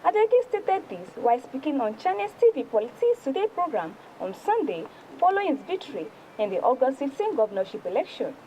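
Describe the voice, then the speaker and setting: High-pitched Nigerian woman reading news. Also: very animated, a little fast, hardly any pauses, mellow, slight background noise, low-quality recording. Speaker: news presenter reviewing newspapers. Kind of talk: read-aloud newspaper review on TV.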